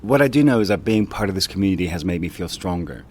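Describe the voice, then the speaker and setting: A man's voice: sits low, animated, fast talker, darkish tone. A man presenting a documentary about his street, narrating in an earnest, upbeat way. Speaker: presenter and street event organizer.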